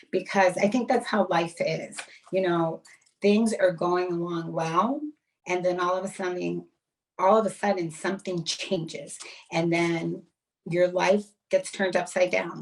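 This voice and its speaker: A middle-aged American woman telling her own story as a podcast guest, candid and earnest. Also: fairly low pitch. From United States, Massachusetts and Florida. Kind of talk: reflective personal storytelling in an interview. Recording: voice distant from the mic.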